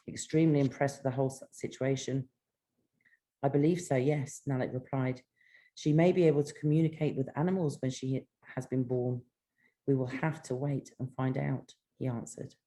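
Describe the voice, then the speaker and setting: A woman's voice: a bass voice, dark tone. A British woman, an author, who reads fiction aloud and chats casually about it. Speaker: author and show host, guest. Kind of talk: casual chat and reading own fiction aloud.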